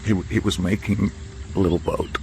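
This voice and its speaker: An actor playing a grieving, tormented father in a radio drama, speaking in halting, repeated phrases. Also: low-pitched, steady tone, gravelly, noisy background. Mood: haunted grief. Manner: halting, broken delivery, repeating himself. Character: grieving, tormented father, an American former prisoner of war.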